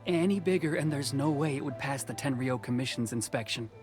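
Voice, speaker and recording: A man's voice: medium pitch, brisk, a little mellow, close to an everyday voice. A man voicing a modest, easygoing male boatbuilder in a video game. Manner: humble, easygoing, nostalgic. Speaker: game character. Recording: game audio with music behind.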